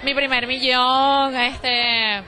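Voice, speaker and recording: A woman's voice: high-pitched, little pitch movement, extremely slow, sharp tone. Woman from Venezuela, casual, hesitant, crowd noise. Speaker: concertgoer.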